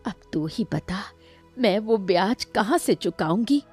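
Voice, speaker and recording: Old peasant mother for a cartoon moral tale, voiced by a woman: worried, pleading, slow-paced. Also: mid-range voice, lively intonation, darkish tone, slightly rough, soft background music. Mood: anxious, pleading. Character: anxious old village woman, pleading and grateful.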